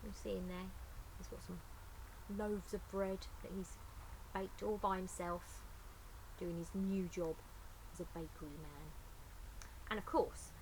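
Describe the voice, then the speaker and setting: A woman's voice: fairly low pitch, fairly even intonation, unhurried, long pauses, slight background noise, poor audio. A woman reading a picture book aloud expressively, adding chatty asides to young listeners. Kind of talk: expressive children's story reading.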